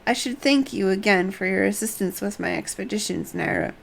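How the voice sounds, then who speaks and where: A woman's voice: mid-range voice, brisk, vocal fry. A woman game streamer commenting live and reading dialogue aloud.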